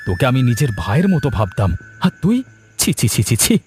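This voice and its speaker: A man's voice: medium pitch, very animated, brisk, a little mellow, natural voice. A man voicing a stern, indignant young village man in a cartoon, with a scolding, emphatic delivery. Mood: disappointed. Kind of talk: cartoon voice acting. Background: background music.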